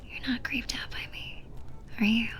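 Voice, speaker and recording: A woman playing a flirty, teasing bartender in a soft, breathy ASMR roleplay, speaking close to the listener and often dropping to a near-whisper. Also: close to an everyday voice. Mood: teasing, friendly. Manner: playful, giggly, unhurried flirting.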